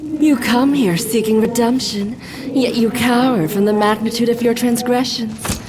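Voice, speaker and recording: A woman voicing a mocking, gloating female demonic spirit in a game, with a slow, theatrical, taunting delivery. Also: fairly high pitch, steady tone, background noise, close to an everyday voice. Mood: gloating menace.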